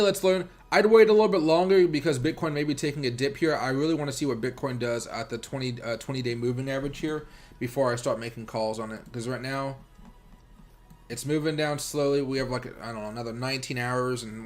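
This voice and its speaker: An American man hosting a livestream with casual, rambling chat and commentary, speaking informally and directly to his viewers. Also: mid-range voice. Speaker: livestream host and crypto trader.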